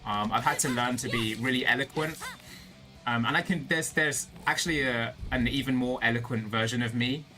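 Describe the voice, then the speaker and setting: A man's voice: mid-range voice, moderate pace, bright, forceful tone. Chatty British man streaming over music. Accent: British with a slight American tinge. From Britain. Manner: rambling, laughs often, many fillers and restarts. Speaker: VTuber streamer. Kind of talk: casual chat with stream viewers. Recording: music playing behind the voice.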